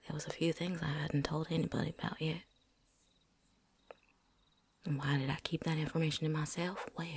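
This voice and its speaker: A woman's voice: low-pitched, slight background noise. A woman playing a chatty, cocky, seasoned vampire hunter in a whispered ASMR roleplay, rambling folksily. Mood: sly, confiding. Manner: folksy, rambling, with drawn-out asides.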